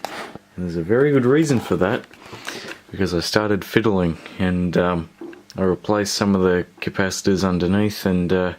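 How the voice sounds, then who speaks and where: A man with a low-pitched voice hosts a casual repair vlog. He speaks hesitantly, working things out aloud as he goes. The recording carries clear background noise and an electrical hum. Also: normal speed. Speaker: host of a radio repair video.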